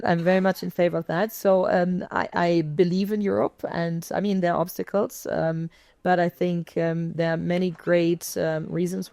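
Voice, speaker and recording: Gravelly-voiced woman panelist. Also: lowish voice, dark tone, no echo. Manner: measured, explanatory, frequent "I mean" and "um". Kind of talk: conference panel discussion. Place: conference stage.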